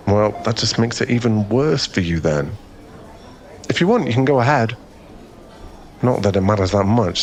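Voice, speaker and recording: A man acting as a friendly young guy with a fairly low voice, chatting in an ASMR boyfriend roleplay. He is easily flustered, rambling and self-effacing in a soft-hearted way. Some ambient noise sits behind him. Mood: mildly exasperated.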